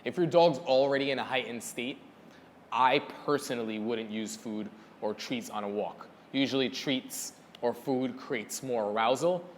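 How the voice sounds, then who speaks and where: A man's voice: medium pitch, a little slow, a little hiss or hum. Man coaching in a reverberant hall. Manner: coaching, repeats words for emphasis. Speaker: dog trainer answering viewer questions. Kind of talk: casual instructional Q&A.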